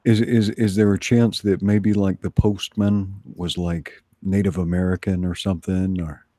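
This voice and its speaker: Male co-host, low raspy voice, close and dry sound, teasing banter. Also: flat delivery, normal speed, warm tone, no echo. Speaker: livestream co-host. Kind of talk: casual bantering chat.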